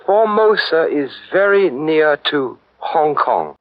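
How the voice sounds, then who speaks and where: A man's voice: on the high side, sing-song, slow, no echo, narrow, tinny audio. An actor playing a weary, stubborn male envoy in a 1940s radio drama, speaking in a dignified, resistant way over an old broadcast recording. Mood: dignified, resisting.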